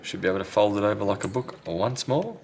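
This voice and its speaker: A man's voice: on the low side, lively intonation, fast talker, creaky, darkish tone, slight background noise. A man talking casually and thinking aloud as he presents a hands-on repair tutorial. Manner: unscripted, thinking aloud while working. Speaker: repair technician, video host. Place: indoors at a repair workbench.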